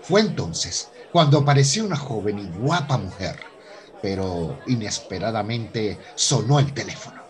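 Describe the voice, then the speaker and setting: Animated male radio soap narrator. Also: lowish voice, moderate pace, over a noisy crowd. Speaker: host and narrator. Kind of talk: scripted radio drama narration.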